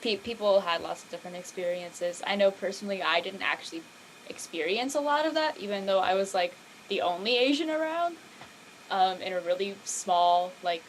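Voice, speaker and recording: A woman with a mid-pitched voice talks casually, as a performer in a group discussion. There is some background noise and room ambience behind her. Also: animated, normal speed, poor audio. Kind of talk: casual panel discussion of a live-read show.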